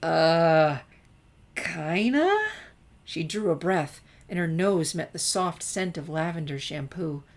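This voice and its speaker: A woman's voice: deep voice, steady tone, moderate pace, hardly any pauses, slightly rough. A woman reading a story aloud as narrator, in a scripted narration style. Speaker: narrator, fan fiction reader.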